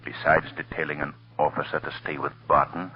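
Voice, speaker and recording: A very deep, mysterious unseen crime fighter. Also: male voice, flat delivery, brisk, breathy edge. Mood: commanding, urgent. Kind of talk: 1930s radio drama acting. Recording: muffled old radio recording.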